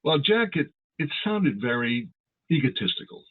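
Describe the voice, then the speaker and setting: Actor as a husky, tinny-sounding radio announcer. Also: male voice, fairly high pitch, big pitch swings, moderate pace. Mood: good-natured, hesitant. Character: Don Wilson, big good-natured male radio announcer. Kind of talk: 1940s radio comedy acting. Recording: tinny, phone-like narrow sound.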